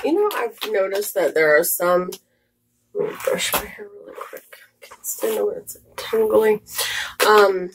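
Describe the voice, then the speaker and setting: A woman with a scratchy, slightly hoarse voice, chatting casually through a makeup tutorial with rambling asides and fillers. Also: medium pitch, unhurried. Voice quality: scratchy, raspy voice. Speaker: makeup vlogger.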